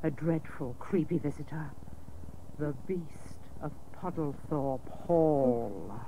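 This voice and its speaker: Slow, melodramatic, earnest lady. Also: sits low, mellow. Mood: ominous, grave. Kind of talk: comic radio drama acting. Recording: noisy old cassette of radio broadcast.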